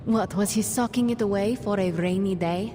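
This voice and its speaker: A woman's voice: mid-range voice, moderate pace, noisy background, close to an everyday voice. A woman playing a brash, wisecracking hero in a video game, sarcastic and impatient. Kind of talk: video game cutscene acting. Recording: long reverb, faint music.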